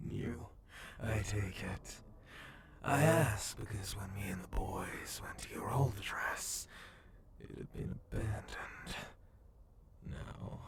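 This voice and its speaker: Sadistic cyborg gang boss played by a man, deep rasping fry, unhurried menace, whispered horror roleplay. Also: exaggerated voice. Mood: mocking menace. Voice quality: low, gravelly, breathy half-whisper. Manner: slow, menacing, drawn-out taunting. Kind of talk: ASMR horror roleplay acting.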